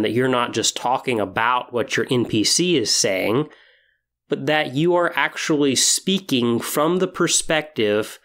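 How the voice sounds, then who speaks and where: Male podcast host, medium pitch, measured and explanatory solo delivery with emphasis on key phrases. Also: a bit bright. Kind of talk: podcast monologue giving tips on tabletop roleplaying.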